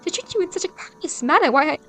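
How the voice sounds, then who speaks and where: A woman playing a kind, devoted young gentlewoman in a period audio drama, speaking with earnest, polite formality. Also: very high-pitched, animated, fast talker, soft background music, heavily acted. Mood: indignant. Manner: polite, formal, earnest old-fashioned speech.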